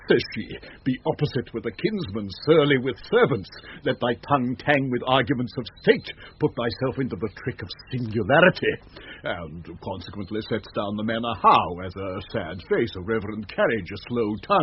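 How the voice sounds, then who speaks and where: An actor with an English accent playing a pompous, self-deluded steward in a classic radio Shakespeare play, declaiming in a haughty, affected way. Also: male voice, medium pitch, lightly acted. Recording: muffled, noisy 1970s radio recording.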